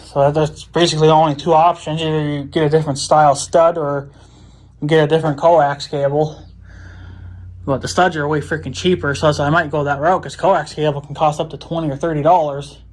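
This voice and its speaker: A man with a slightly high voice talks casually to his viewers while working on a hands-on project. He rambles and thinks aloud, in a folksy, informal way. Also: little pitch movement, brisk. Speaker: vlogger. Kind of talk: casual hands-on DIY vlog talk to viewers.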